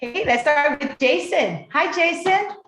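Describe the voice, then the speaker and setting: A woman's voice: high-pitched, lively intonation, fairly bright tone, roomy sound. A woman moderating a youth forum on a video call, chatty and encouraging. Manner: encouraging, chatty, drawn-out delivery.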